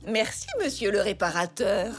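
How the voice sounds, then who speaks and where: An actress playing a gentle, playfully teasing grandmother zebra in a French children's cartoon. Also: mid-range voice, normal speed, faint music behind, lightly acted. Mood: kindly.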